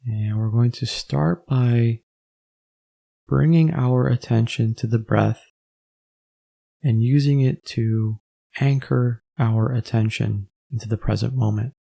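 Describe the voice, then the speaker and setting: A man leads a guided meditation at a very slow, measured pace. His voice is low-key and mellow, with a creaky fry running through it. He speaks gently as an instructor, leaving space between phrases. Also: steady tone.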